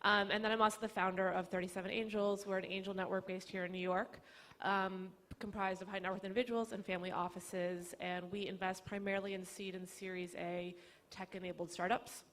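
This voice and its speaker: A woman's voice: mid-range voice, flat delivery, fairly quick, a bit bright. A woman speaking on a business panel, articulate and fluent with light fillers. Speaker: panelist, business school lecturer and angel network founder. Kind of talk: panel discussion.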